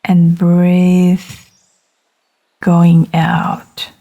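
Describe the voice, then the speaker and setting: Female meditation guide, lowish and soft-toned, extremely slow delivery, gentle and soothing. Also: completely flat delivery, rough recording. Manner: gentle, soothing, unhurried with long pauses between short phrases.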